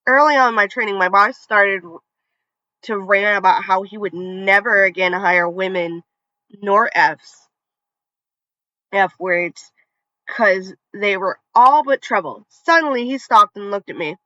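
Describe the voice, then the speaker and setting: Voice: medium pitch, unhurried, pushed and bright, no echo. A narrator reading online revenge stories aloud with casual asides, now and then tripping over a word and starting it again. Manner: conversational reading, trips over words and restarts. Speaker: story channel narrator. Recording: dull, slightly lo-fi dry recording.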